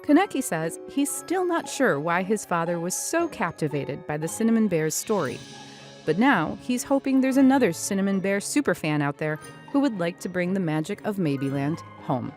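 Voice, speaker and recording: A woman's voice: on the low side, expressive, normal speed, a little mellow, faint music behind. A woman news reporter reading a scripted feature narration.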